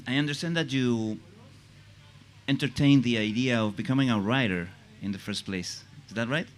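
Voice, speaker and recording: A man interviewing a guest on stage, asking questions haltingly with many restarts and fillers. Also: medium pitch.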